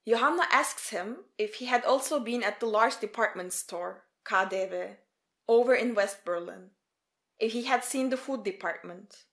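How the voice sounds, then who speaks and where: A woman narrates a documentary story from a script. Her voice sits a little higher than average. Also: normal speed, a bit bright.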